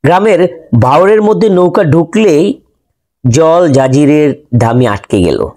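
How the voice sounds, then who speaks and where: A man's voice: a little high, expressive, measured pace, mellow. A man reading scripted narration as the host of an audio story.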